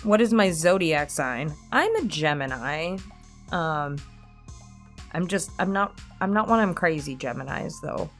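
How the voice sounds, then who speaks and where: Casting: woman with a low voice and lively intonation, candid online creator doing an informal Q&A, self-mocking, salty language. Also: unhurried, faint music behind. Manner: candid, self-deprecating, freely swearing. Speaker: online creator answering subscriber questions. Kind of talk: casual Q&A chat.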